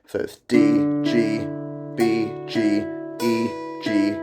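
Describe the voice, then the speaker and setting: A man talks through a guitar lesson, his voice croaky from a cold coming on. He keeps it chatty and wry, with dry self-mocking asides between the chord shapes, while clear guitar plays behind him. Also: lowish voice, completely flat delivery, drawn-out words. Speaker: guitar teacher. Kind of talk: casual guitar tutorial.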